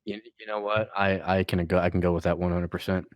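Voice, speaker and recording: Lower-voiced man bantering, playfully bossy. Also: fairly even intonation, quick pace, no room sound. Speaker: podcast co-host. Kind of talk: casual joking banter.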